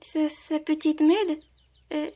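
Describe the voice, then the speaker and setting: A woman's voice: sits high, steady tone, slow, dark tone. A woman playing a young, eager, polite maid in a French roleplay audio drama, hesitant and apologetic, with a stammering formal manner. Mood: indignant, shy.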